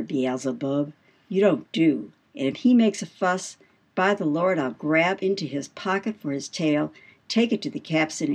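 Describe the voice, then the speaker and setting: Woman playing a brash, low-voiced whaling mate. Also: slightly rough, heavily acted. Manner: salty colloquial swagger, emphatic rhetorical questions. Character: brash, boastful male whaling mate telling devil yarns. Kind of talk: dramatised audiobook dialogue.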